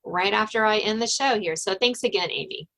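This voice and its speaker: A middle-aged woman hosts a podcast in a conversational way. Her voice sits at an average pitch for a woman, and she talks as in an informal interview. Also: a little fast, a bit bright. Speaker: podcast host.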